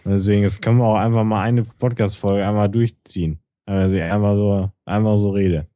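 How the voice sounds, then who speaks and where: A man's voice: lowish voice, steady tone, fairly quick, warm tone, no echo, clean studio sound. Casual, jokey man co-hosting a podcast. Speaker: podcast co-host.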